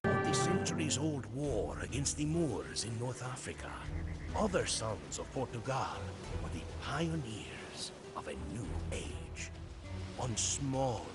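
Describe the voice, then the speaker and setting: A man's voice: medium pitch, big pitch swings, slow pace. An actor playing a loyal soldier who solemnly and theatrically recounts his captain's campaigns as the storybook narrator of a game. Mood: grand, awed. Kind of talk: dramatic storybook cutscene narration. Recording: studio narration under loud music.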